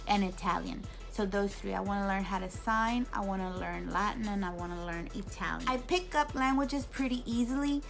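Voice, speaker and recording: A woman in her thirties chatting casually to her camera, answering viewer questions with lots of fillers, restarts and animated asides. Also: medium pitch. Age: 30s. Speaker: YouTube vlogger.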